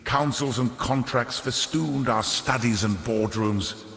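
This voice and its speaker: Pompous old merchant lord, cavernous reverb. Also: male voice, mid-range voice, takes it slowly, a bit bright. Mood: wistful, grandiose. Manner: pompous, theatrical, ornate aristocratic diction. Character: wily, vain old merchant lord, centuries old. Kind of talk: scripted video game character monologue. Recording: echoey hall with long reverb.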